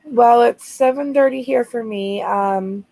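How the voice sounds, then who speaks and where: An American woman with a raspy voice co-hosting a casual livestream, hesitant and full of ums. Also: fairly high pitch, measured pace, warm tone, dry recording. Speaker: livestream co-host.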